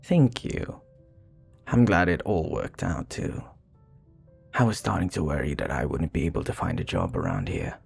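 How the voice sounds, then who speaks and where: A man playing a charming, courteous, flirty vampire bartender in an intimate ASMR roleplay, speaking in a breathy, gravelly voice that often drops to a whisper. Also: extremely low pitch, expressive, a little mellow, soft background music, full character voice. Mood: wry, confiding. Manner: suave, courteous, teasing, unhurried.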